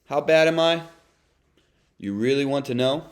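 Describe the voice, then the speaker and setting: A man's voice: medium pitch, unhurried, lightly acted. A man reading a children's storybook as a brooding, self-confessed bad sunflower seed, in a dramatic, confessional way. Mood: grumpy, confessional. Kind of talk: children's read-aloud narration.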